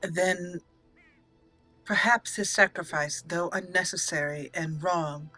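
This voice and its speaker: A woman's voice: fairly low pitch, fairly even intonation, slow, bright, forceful tone, dry recording, faint music behind, poor audio. A woman hosting a video game let's play, narrating the roleplay and reading dialogue aloud with expression. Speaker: gaming channel host.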